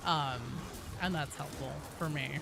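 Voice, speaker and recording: A woman's voice: low voice, steady tone, unhurried, gravelly. Woman streamer chatting over noticeable background noise. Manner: candid, informal, swears casually. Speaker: game streamer. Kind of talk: casual livestream chat with viewers.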